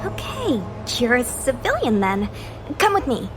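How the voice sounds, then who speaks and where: A woman voicing an eager, bossy young female knight in an anime-style game, brisk and a little scatterbrained. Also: fairly high pitch, huge pitch swings, background noise. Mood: officious, flustered. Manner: brisk, assertive, informal.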